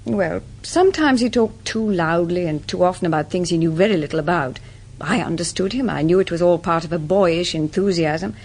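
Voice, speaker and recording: An actress playing a composed society woman with an English accent, answering deliberately in a radio drama. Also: on the low side, darkish tone, breathy edge. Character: composed, well-connected society woman under questioning.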